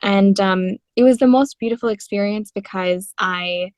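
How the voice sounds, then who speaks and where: A young woman from India talks through her story in an animated way, dropping in fillers and laughing easily. Her voice sits at a middle pitch and is recorded dry and close. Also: moderate pace, no echo. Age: 20s. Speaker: guest singer-songwriter. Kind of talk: conversational interview.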